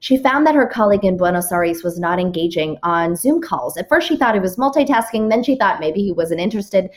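A woman's voice: mid-range voice, animated, fairly quick. A woman author, a guest on a podcast, laying out her rules point by point and backing each with a story. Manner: structured, explains with examples and numbered rules; occasional restarts and fillers. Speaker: author and keynote speaker, guest. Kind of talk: podcast interview answers.

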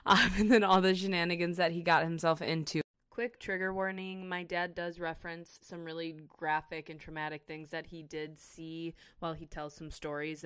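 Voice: mid-range voice, a bit bright, smooth, no room sound, clean studio sound. A podcast host introducing the show and interviewing their father, playful and teasing with frequent laughs. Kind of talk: casual podcast conversation.

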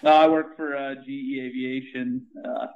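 A man's voice: mid-range voice, normal speed, vocal fry, narrow, tinny audio. A man calling in to a podcast, chatting casually with lots of fillers. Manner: relaxed, many fillers. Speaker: caller, podcast contestant. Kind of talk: casual chat.

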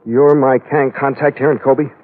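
Actor as hard-boiled sea captain, muffled vintage radio. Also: male voice, medium pitch, fairly even intonation, moderate pace, lightly acted. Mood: wry, skeptical. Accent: American. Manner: wry, clipped, world-weary. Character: tough, wry, hard-boiled sea captain telling his adventure. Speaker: lead actor and first-person narrator. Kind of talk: old-time radio adventure drama acting and hard-boiled narration. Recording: muffled vintage radio recording, musical underscore.

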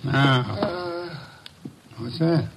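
An actor playing an imperious, dry older master detective in an old radio drama, on a noisy vintage recording. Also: male voice, on the high side, drawn-out words, vocal fry, full character voice. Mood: brusque. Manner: commanding, dry, clipped.

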